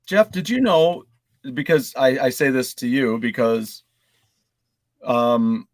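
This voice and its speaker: A man's voice: medium pitch, animated, slow pace, dry recording. A man chatting casually and teasingly as a panelist on a video game podcast. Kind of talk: casual chat.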